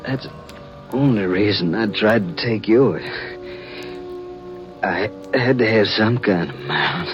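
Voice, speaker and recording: A very low, slow-talking rural American outlaw struggles along, weak and breathy from his wounds. He is boastful and desperate, his words halting, in a 1950s radio western on a dull old recording. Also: lively intonation. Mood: regretful, weary. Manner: halting, laboured, folksy drawl. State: weak, gravely wounded, acted. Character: dying, boastful male outlaw, stubborn and desperate. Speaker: supporting actor in a radio western. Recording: dull vintage radio recording, music behind.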